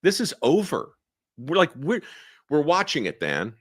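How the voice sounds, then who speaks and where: A man as a podcast guest, talking in a lively, emphatic way and repeating words as he starts his points. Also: on the high side, unhurried, no echo, studio quality. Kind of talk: casual conversation.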